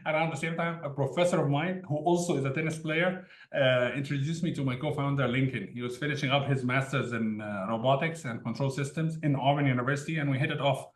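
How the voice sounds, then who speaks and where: A man's voice: mid-range voice, fast talker, few pauses. A man from Egypt, a tech founder telling his story as an interview guest in an easygoing way, often laughing between sentences. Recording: roomy echo.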